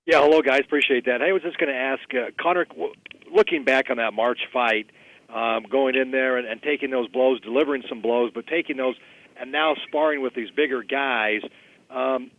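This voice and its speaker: Male sports reporter, mid pitch, tentative and wordy, polite unscripted questioning on a dry call line. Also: moderate pace, no echo, phone-quality sound. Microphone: conference call.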